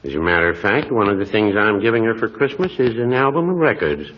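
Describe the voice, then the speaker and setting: Male actor as mild older small-town druggist, lowish, dry and hesitant, vintage radio comedy, dull-sounding recording. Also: expressive, fast talker, close to an everyday voice. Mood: mild, folksy. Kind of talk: 1940s radio comedy acting. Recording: hissy, muffled 1940s radio recording.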